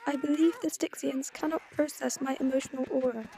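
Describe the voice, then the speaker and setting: A woman's voice: high-pitched, fairly even intonation, a little fast, creaky, mellow, a little hiss or hum, pitched above the performer's own voice. A woman doing a prim, formal otherworldly being.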